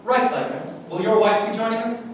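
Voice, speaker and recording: An actor playing a brutal, sneering German sergeant who mocks and goads others in a staged play reading. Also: male voice, sits high, normal speed, lightly acted. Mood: mocking. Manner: mocking, goading. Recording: very echoey hall, distant mic, faint noise.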